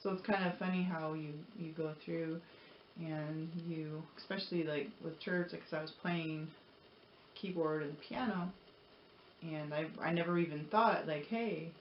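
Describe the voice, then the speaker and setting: A woman talking casually to viewers in an earnest, encouraging, rambling way, like a motivational vlogger. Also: on the low side. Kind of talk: casual personal talk to viewers. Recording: roomy echo, dull lo-fi audio, noisy.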